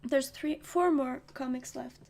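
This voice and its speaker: A woman's voice: sits high, unhurried, a little mellow. A woman streamer chatting casually between comic readings, jokey and giggly.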